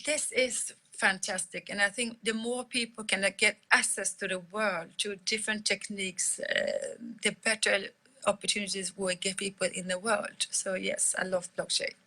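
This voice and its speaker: Bright-voiced woman, Liberian-British-Swedish accent. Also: mid-range voice, steady tone, normal speed, poor audio. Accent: mix of Liberian English, British English and Swedish English. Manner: conversational, many fillers and restarts. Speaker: company CEO answering audience questions. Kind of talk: live-stream Q&A.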